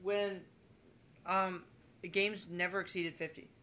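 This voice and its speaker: A young man with a fairly high, bright voice chats casually and circles back over his own phrases. He sounds like a guest on an amateur podcast, with some noise in the background. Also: level tone, slow. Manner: rambling, repeats and rephrases himself. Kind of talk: casual chat about video games.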